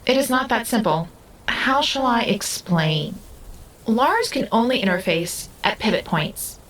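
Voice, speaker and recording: A woman's voice: fairly high pitch, animated, a little slow, a bit bright, rough recording. A woman playing a young otherworldly being who speaks earnestly in formal, stilted, precise sentences in an audio drama. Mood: literal, instructive. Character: young otherworldly female being, earnest, literal, curious, restless.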